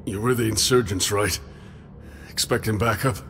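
A man playing a gruff, battle-hardened soldier in a video game, with a terse, dry, sarcastic delivery. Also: on the low side, steady tone, normal speed, music in the background. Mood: suspicious, dry. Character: gruff, cynical, battle-hardened male soldier, lone survivor. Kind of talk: video game character acting.

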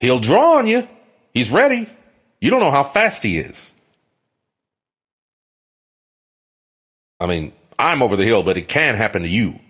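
A man playing an affable, fast-talking older outlaw who coaxes like a peacemaker in a western drama. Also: medium pitch, big pitch swings, fairly bright tone, slightly rough. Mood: urgent warning. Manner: cajoling, chatty. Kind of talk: dramatic western film acting demo.

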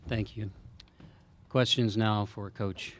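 A man's voice: on the low side, steady tone, slow pace, a little hiss or hum. A man moderating a press conference, politely steering questions.

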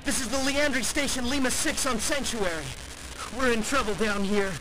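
A woman's voice: on the high side, normal speed, close to an everyday voice. A woman voicing an alarmed mining station worker pleading urgently for rescue in a video game. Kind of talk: video game distress transmission. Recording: noisy radio distress transmission.

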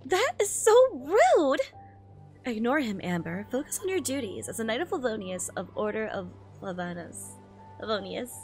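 Animated high-voiced woman streamer reading aloud. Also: normal speed. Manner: expressive, giggly, switches into character voices. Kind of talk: live reaction read-aloud with excited asides. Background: music behind the voice.

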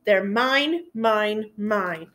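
A woman's voice: mid-range voice, animated, drawn-out words, a bit bright. A woman voicing a greedy, possessive young male dinosaur bully in a storybook, roaring, grumbling and wailing.

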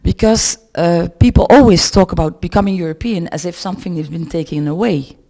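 A woman's voice: fairly low pitch, a little fast, mellow. A middle-aged woman with a Dutch accent, giving her opinion in a group discussion on a handheld mic. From Netherlands. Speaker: panel participant. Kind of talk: discussion contribution. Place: medium indoor room with seated audience. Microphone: handheld microphone.

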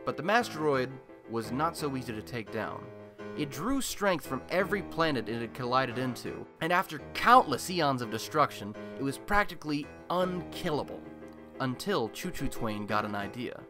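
A man's voice: medium pitch, expressive, moderate pace, music in the background, natural voice. A man playing a chatty, wry radio host in a superhero city, telling scripted comic stories in a colloquial, theatrical way for a radio play. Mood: dramatic, amused storytelling.

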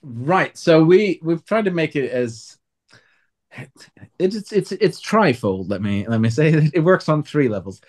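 A man talking as an author being interviewed, conversational, often halting and correcting himself. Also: on the high side, sing-song.

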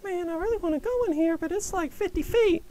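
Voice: very high voice, fairly quick, very noisy, pitched above the performer's own voice. A comic voice-over performer voicing a small, scared, hesitant kitten.

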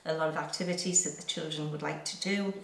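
A woman's voice: low-pitched, steady tone, quick pace, vocal fry. Elderly woman, roomy distant recording. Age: 70s. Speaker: youth club leader. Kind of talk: interview testimony. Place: small plain indoor room. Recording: roomy, slightly distant microphone.

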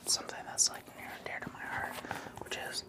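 A man in his thirties doing ASMR, hesitant, moving between a whisper and a soft voice in a small room indoors. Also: fairly quick. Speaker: ASMR video host.

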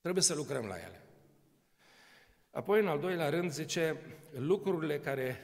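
A man's voice: on the high side, lively intonation. A man in his sixties preaching a sermon from a church podium, talking conversationally with frequent rhetorical questions and touches of humor. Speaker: pastor. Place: large church hall. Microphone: podium microphone. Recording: long church reverb.

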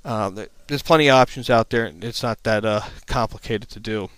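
Clear-voiced man presenting an informal tutorial. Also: lowish voice, brisk, a bit bright, no room sound, poor audio. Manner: plain-spoken, matter-of-fact explaining. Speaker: instructor, presenter. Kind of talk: informal slide presentation narration.

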